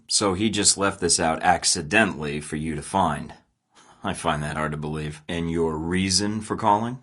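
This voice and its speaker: A low-voiced male spy who sounds unshakable and tosses off dry, sarcastic quips between terse mission lines. He is the hero of an action game, speaking in the clipped, self-assured way of a field operative. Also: bright, forceful tone. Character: wisecracking male secret agent, cool under pressure. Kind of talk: video game voice acting.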